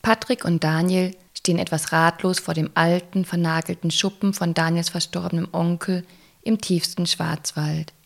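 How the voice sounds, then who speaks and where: Lowish-voiced female audio drama narrator. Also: little pitch movement, normal speed, creaky.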